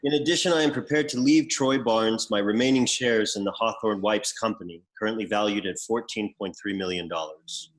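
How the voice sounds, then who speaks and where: A man playing a pompous, deadpan executor who reads out bequests in a comedy script table read. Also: fairly low pitch, brisk, hardly any pauses, natural voice, low-quality recording. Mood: formal, solemn. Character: pompous male executor reading out an eccentric bequest.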